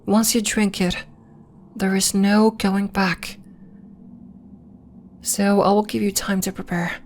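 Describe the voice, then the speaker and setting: A woman playing a gentle, earnest alien temple healer in an ASMR roleplay, speaking in a measured, formal, reassuring way. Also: mid-range voice, little pitch movement, a little hiss or hum, close to an everyday voice. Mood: calm, solemn. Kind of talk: slow, soft ASMR roleplay acting.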